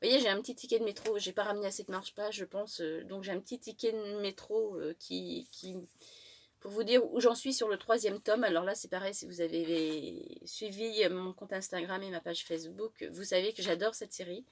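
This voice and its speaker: A woman's voice: mid-range voice, brisk. A woman with a head cold, chatting through a book haul, hesitant and full of fillers and restarts. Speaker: book vlogger.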